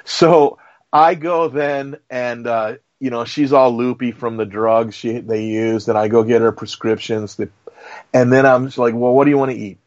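A man's voice: mid-range voice, normal speed. A man telling a long story in casual conversation, acting out the exchanges as he goes. The audio is a little muffled and lo-fi. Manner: storytelling, animated retelling. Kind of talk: casual chat.

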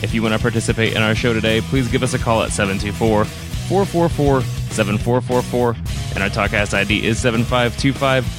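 A man's voice: fairly low pitch, brisk, music in the background. A man hosting a podcast in a casual, friendly way, with easy filler words and restarts. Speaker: podcast host.

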